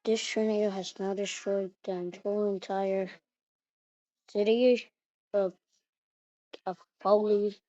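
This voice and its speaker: Slow, monotone female alert announcer, muffled. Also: medium pitch. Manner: halting, with repeated phrases. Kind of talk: improvised mock emergency alert announcement. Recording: muffled lo-fi recording.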